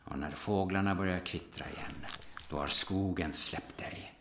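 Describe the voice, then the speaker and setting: Man playing a deep, gruff seasoned hunter. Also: a bit bright, roomy sound. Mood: reverent, hushed. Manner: instructive, brooding, commanding. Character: gruff, seasoned male hunter, solemn and methodical. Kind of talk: audio drama acting.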